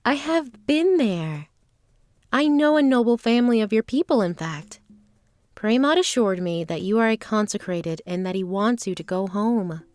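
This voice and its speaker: A woman playing an earnest, guarded traveling performer with formal, measured phrasing in a fantasy audio drama. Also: on the high side, animated, darkish tone, no room sound, natural voice. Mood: composed, explaining.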